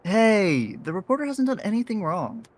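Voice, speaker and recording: A soft-hearted, easygoing faceless character from an audio drama, played by an actor. The voice is mid-pitched and the manner is gentle, a little hesitant and reassuring. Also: very animated, normal speed. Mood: protective.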